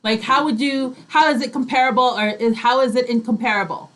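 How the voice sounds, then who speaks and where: A woman's voice: fairly high pitch, fairly quick, a bit bright. A woman hosting a casual radio interview in an eager, informal way. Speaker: radio host.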